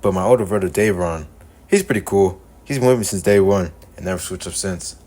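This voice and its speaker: A man voicing an earnest, guarded young male devil hunter from another universe, delivering animated-story dialogue. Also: deep voice, a little fast. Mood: earnest, reflective. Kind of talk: animated-series character dialogue, recorded in repeated takes.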